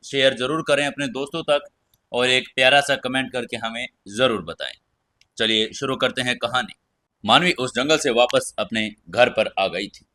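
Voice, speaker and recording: A male storyteller narrates a scripted audio story as its host. His voice is bright and forceful, sitting at a middle pitch for a man.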